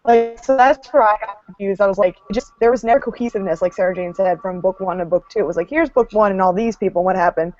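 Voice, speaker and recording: Woman, mid pitch, casual booktube chat, lots of like and mock quotes, lo-fi muffled mic. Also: quick pace, hardly any pauses, darkish tone, soft background music. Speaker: book discussion panelist. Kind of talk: casual chat, book discussion.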